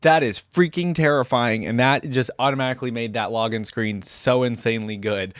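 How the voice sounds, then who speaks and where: A man's voice: mid-range voice, a little fast, clear, resonant tone, no echo, clean studio sound. A man hosting a reaction video, giving casual, informal and exclamatory commentary.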